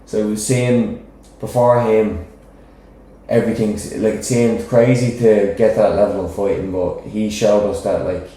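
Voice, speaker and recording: Dublin man, deep mellow voice, echoey room. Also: fairly even intonation, unhurried. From Ireland. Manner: rambling, frequent "do you know what I mean". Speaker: professional boxer, guest. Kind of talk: casual interview chat.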